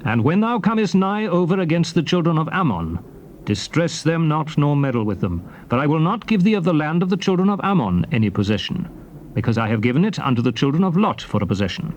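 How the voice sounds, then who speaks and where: Casting: solemn prophet-leader for dramatized scripture, higher-set voice, widely swinging declamation, tape noise behind. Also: male voice, darkish tone. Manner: solemn, declamatory, archaic diction. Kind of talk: dramatized scripture reading, theatrical oratory.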